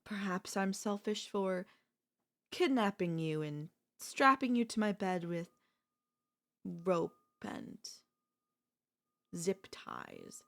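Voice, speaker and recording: A woman playing an obsessive, possessive sister-in-law in an intimate ASMR audio roleplay, coolly confessing her love with deliberate pauses. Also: medium pitch, big pitch swings, creaky, a bit bright, heavily acted. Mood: coolly confessional. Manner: slow, deliberate, with long pauses.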